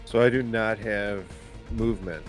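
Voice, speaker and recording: A man's voice: lowish voice, fairly even intonation, unhurried. A man chatting casually as a player in a tabletop roleplaying game, giving brief, hesitant replies. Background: background music.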